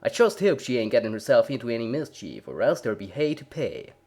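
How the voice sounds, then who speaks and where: Man voicing a folksy, lively mare. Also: medium pitch, quick pace. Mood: fretful. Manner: folksy, drawling rustic dialect. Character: friendly Southern farm mare, brisk and folksy.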